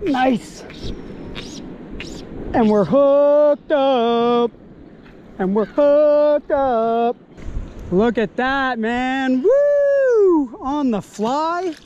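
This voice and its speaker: High-voiced excited man wading a river. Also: very slow, long pauses, highs cut off, a little hiss or hum. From Canada. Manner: excited, exclaiming over each catch. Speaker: fly-fishing angler and host. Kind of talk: casual outdoor vlog commentary. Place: outdoors, wading in a wide river. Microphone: body-worn action camera.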